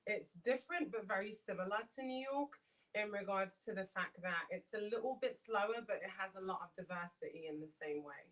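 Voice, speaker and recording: A woman's voice: medium pitch, a little fast, a bit bright, recorded from a distance, rough recording. A woman from London with a London English accent, chatting casually as a guest in an interview. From London, England. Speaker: podcast guest.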